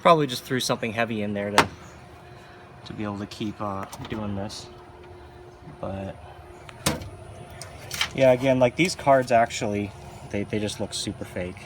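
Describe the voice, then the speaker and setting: A man's voice: on the low side, normal speed, pauses often, noisy background. A man giving casual, unscripted hands-on commentary as a hobbyist, offhand and often muttering to himself while he handles equipment. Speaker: hobbyist arcade machine video maker.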